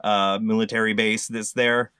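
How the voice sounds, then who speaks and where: A man's voice: fairly low pitch, level tone, normal speed, sharp tone, clear, resonant tone, no echo, studio quality. A middle-aged man improvising comic answers as a panelist on a video call with clicks. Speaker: panel show regular.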